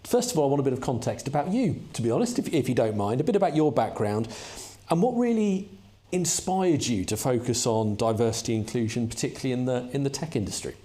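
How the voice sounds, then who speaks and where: A man's voice: medium pitch, quick pace. Chatty man hosting a conference chat. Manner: relaxed, chatty, with hesitations. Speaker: host. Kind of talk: conference fireside chat interview.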